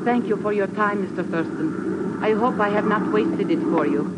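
A woman's voice: medium pitch, close to an everyday voice. A woman playing a grave, guarded foreign woman scientist, speaking formally and carefully in 1950s radio drama style. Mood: guarded, earnest. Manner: formal, measured, careful diction. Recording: muffled, very noisy old radio recording.

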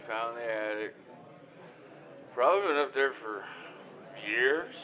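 A man's voice: mid-range voice, expressive, measured pace, natural voice. A man playing an anxious, clueless hotline caller in an audio drama, hesitant, flustered and meandering over a muffled line. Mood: nervous, rambling. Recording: dull, muffled phone-call sound.

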